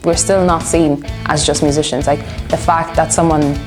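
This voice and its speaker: A woman's voice: sits low, steady tone, moderate pace, music in the background. A woman of twenty-one, talking casually and earnestly in an interview, giving her opinions. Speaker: music student and band musician being interviewed.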